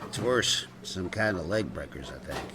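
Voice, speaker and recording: An actor playing a wry, big-hearted restaurateur and ex-bartender in a comedic radio drama, teasing and theatrical, full of endearments. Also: male voice, low-pitched, lively intonation, normal speed, background noise. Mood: breezy, teasing.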